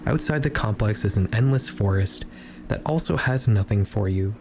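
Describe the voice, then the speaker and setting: A man reading scripted video essay narration in a measured, storytelling manner. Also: lowish voice, music in the background. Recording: clearly noisy ambient background.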